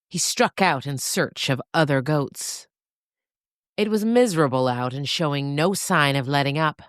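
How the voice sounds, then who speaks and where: A woman reading a scripted story as narrator, with dramatic, suspenseful and deliberate phrasing. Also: deep voice, no room sound, studio quality.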